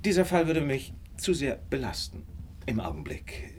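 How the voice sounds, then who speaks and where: A cool, commanding detective in a radio drama. His mid-pitched voice is extremely animated, full of polite irony and feigned innocence. There is clear noise on the recording. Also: moderate pace. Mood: smoothly regretful. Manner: suave, ironic, feigning innocence. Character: shrewd male detective, cool, commanding, slyly amused. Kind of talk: radio play acting.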